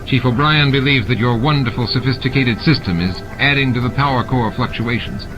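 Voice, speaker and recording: A man's voice: medium pitch, a little fast, dull audio, lightly acted. A man voicing a grim, suspicious alien station constable, stern and dry, in a dramatized audiobook. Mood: dry, knowing. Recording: music and sound effects behind the voice.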